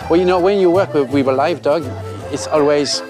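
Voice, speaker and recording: A man's voice: on the high side, brisk. A man in his sixties from France with a French accent, chatting playfully on stage in broken English. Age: 60s. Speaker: performer, ventriloquist. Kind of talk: casual stage chat. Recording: loud crowd and music behind.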